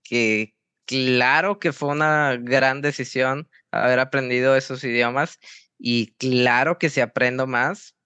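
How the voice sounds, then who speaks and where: A young man from Mexico, a football coach answering interview questions in a halting way with many restarts. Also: medium pitch, fairly even intonation, sharp tone, clean tone, no room sound. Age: young adult. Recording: dry, slightly dull sound.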